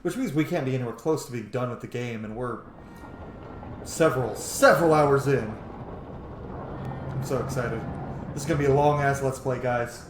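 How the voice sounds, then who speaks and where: A man's voice: mid-range voice, a little fast, echoey room, a little hiss or hum, low-quality recording. A man hosting a let's play, giving casual, enthusiastic, sweary commentary on a fantasy game. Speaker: gamer, let's play host. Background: video game music and dialogue.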